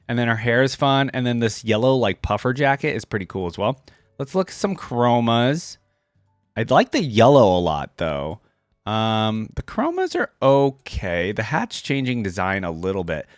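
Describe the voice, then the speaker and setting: A man's voice: medium pitch, no echo, clean studio sound. A man hosting a reaction video with casual, off-the-cuff commentary, thinking aloud informally with mild enthusiasm.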